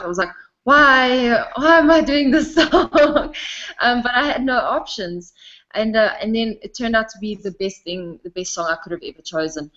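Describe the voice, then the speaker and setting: A woman's voice: medium pitch, fairly bright tone. A young South African woman talking casually about her singing, often laughing between sentences. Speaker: interviewee, singer. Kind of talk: casual interview chat. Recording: dull lo-fi sound.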